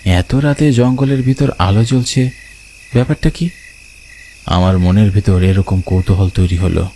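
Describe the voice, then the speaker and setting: A man's voice: lowish voice, fairly even intonation, unhurried, warm tone, slight background noise. A man telling a horror story in dramatic first-person narration.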